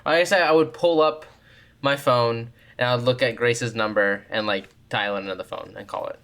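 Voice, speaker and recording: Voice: mid-range voice, steady tone, moderate pace, fairly bright tone. A tabletop game player chatting casually and describing actions in improvised role-play.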